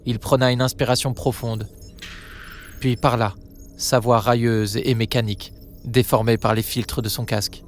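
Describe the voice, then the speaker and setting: A man narrating a dramatic audio story in a grave, measured manner. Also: medium pitch, flat delivery, a little hiss or hum.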